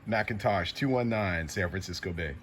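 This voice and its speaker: A man's voice: sits low, normal speed, crowd noise. A man working as a CB radio operator and channel host, talking informally in short calls and acknowledgements, repeating call signs. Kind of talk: casual CB radio contact calls.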